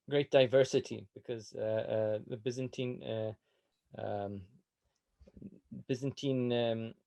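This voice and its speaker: Man hosting an open day, halting welcome speech, mid-pitched voice. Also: dark tone. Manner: hesitant, many fillers. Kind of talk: formal welcome address.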